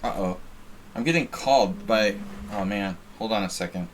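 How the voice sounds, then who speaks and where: A man's voice: lowish voice, moderate pace, a little hiss or hum. Rambling American man streaming chess. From United States. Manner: rambling, self-deprecating, reads chat aloud. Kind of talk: casual live-stream chat.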